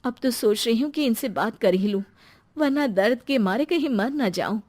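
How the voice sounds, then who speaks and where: A woman's voice: fairly high pitch, lively intonation, moderate pace, darkish tone. A woman voicing a meek, long-suffering middle-aged mother and housewife in a cartoon story, hesitant and apologetic. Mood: pained, hesitant.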